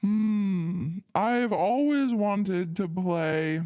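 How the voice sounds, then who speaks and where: A woman, a guest actor on a casual podcast, speaking slowly and deadpan. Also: medium pitch, no echo, clean studio sound. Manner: slow, deadpan.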